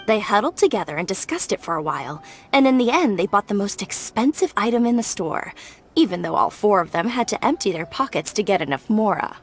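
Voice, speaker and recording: A woman's voice: mid-range voice, expressive, brisk, close to an everyday voice. An actress plays a friendly young woman minding a grocery shop in an anime-style game. Her mid-range voice moves animatedly, chatty and easygoing, with light music underneath. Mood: cheerful, chatty. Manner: chatty, unbothered.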